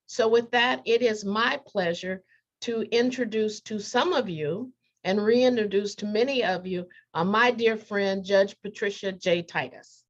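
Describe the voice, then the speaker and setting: A woman judge introducing her fellow panelists in a gracious, measured way with some fillers. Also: mid-range voice, dull audio.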